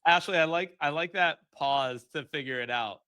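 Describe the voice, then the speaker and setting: Bright, forceful man chatting casually. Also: on the high side, moderate pace, clean tone. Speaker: podcast panelist. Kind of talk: casual chat, commenting on a sales call.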